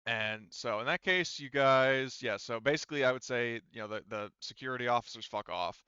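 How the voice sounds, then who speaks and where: A man running a tabletop roleplaying game as game master, narrating casually and thinking aloud in a halting way. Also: medium pitch, pushed and bright, clear, resonant tone, no room sound, muffled recording.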